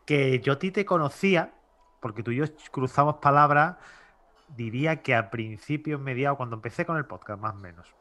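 A man's voice: mid-range voice, animated, a little fast, sharp tone. A man with a Spain Spanish accent hosts a casual interview, chatting informally and often repeating or rephrasing himself. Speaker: podcast host.

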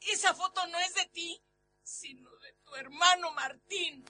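Anguished Mexican grandmother, piercingly bright. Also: extremely high pitch, unhurried, faint music behind, exaggerated voice. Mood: grave, fearful. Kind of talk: melodramatic horror radio drama acting.

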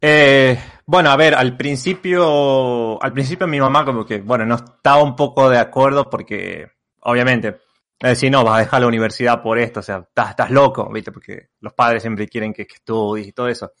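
A man's voice: medium pitch, fairly quick, fairly bright tone. A young man from Argentina with an Argentine accent, a content creator telling his story in a casual interview, rambling with many fillers and restarts. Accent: Argentine Spanish.

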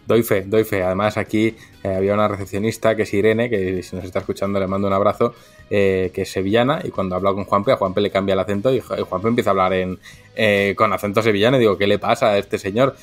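Sarcastic low-voiced male co-host. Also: steady tone, fast, soft background music. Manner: sarcastic, jokey. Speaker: podcast co-host. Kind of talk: casual chat.

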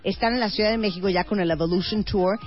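A woman's voice: mid-range voice, quick pace, highs cut off, soft background music. A Mexican woman hosting a radio interview, teasing and exclamatory in a playful chat. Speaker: radio host.

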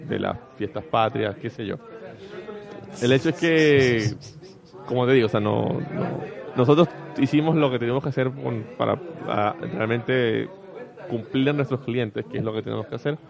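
A man's voice: medium pitch, moderate pace, creaky, crowd noise. A man chatting casually as a panelist on a podcast, in a slightly dull recording. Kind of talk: casual chat.

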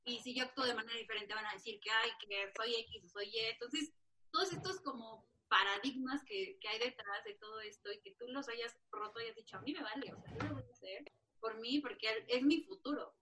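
A woman's voice: a little high, brisk, bright, forceful tone. A young woman, an encouraging, enthusiastic interviewer in a casual chat. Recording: distant mic with faint noise.

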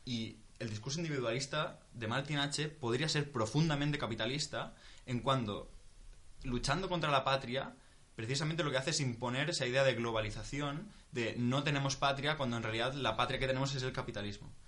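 A man's voice: medium pitch, a little fast, a bit bright. A young man from Spain in a casual group discussion, thinking aloud with many restarts. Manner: thinking aloud, restarts, swears when stuck.

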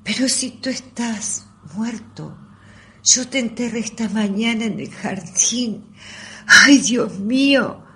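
A woman in amateur radio theatre playing a horrified elderly lady with drawn-out, shocked exclamations. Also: on the high side, background noise, voice pushed up. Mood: bewildered horror. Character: horrified elderly woman, pet parrot's owner.

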